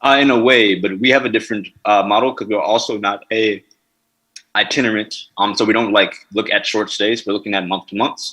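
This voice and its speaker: Male founder, lowish voice, halting Q&A answers full of fillers. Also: steady tone, pushed and bright, rough recording. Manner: halting, many fillers and restarts. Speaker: startup founder pitching. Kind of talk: answering investor questions.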